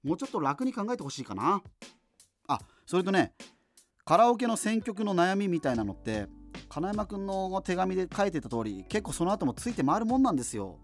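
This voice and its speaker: An actor playing a chatty, self-deprecating radio host who muses casually through listener letters, with music behind him. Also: male voice, high voice, sing-song, brisk. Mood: wry reminiscing. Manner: rambling, colloquial, musing asides.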